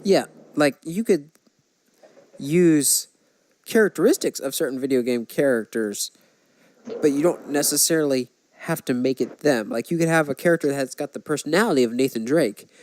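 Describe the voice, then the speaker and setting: A man's voice: on the high side, moderate pace, darkish tone. A man hosting a podcast, giving his opinions in a casual, conversational way. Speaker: podcast host. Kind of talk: casual chat.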